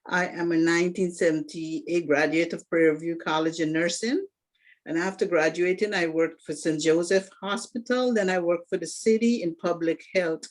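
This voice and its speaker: A woman's voice: on the low side, fairly even intonation, moderate pace. A woman in her sixties, originally from Guyana, presenting herself as a candidate for an alumni association presidency in an earnest, organized prepared speech with occasional restarts. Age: 60s.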